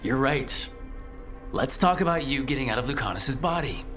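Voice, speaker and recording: Man as a mid-pitched, steady young hero. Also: moderate pace, over music, close to an everyday voice. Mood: firm. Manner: plain-spoken, direct. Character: steady, wry young male adventurer leader, reassuring. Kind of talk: video game voice acting.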